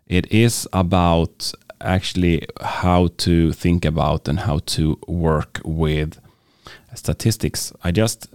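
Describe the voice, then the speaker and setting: Deep swedish guy chatting about books on his podcast, lots of ums, gravelly, dry sound. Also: darkish tone, no echo. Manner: hesitant, many fillers and restarts. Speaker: podcast host. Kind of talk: casual book talk.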